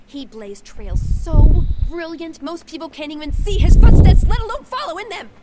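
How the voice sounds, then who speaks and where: Shrill, indignant female gnome scholar. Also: very animated, normal speed, very noisy. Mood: indignant admiration. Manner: emphatic, lecturing.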